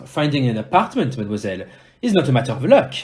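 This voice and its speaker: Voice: mid-range voice, very animated, fast, a little mellow, close to an everyday voice. A performer playing a fussy, formal Belgian male detective in an audio play, with a French accent and precise, courteous speech. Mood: fussy, polite.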